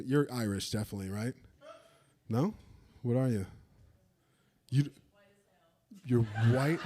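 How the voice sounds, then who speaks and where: A man's voice: on the high side, a bit bright. A man doing a crude stand-up set on a theater stage, teasing and bantering with the audience. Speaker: stand-up comedian. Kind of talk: stand-up set with crowd work.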